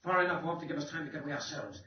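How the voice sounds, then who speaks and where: An actor playing a ruthless, smooth-talking criminal in a 1940s radio drama, giving clipped, coolly menacing commands. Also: male voice, on the high side, expressive, racing, recorded from a distance, a little hiss or hum, close to an everyday voice. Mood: scheming. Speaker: villain Bart. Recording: dull old radio recording with roomy echo from off-mic.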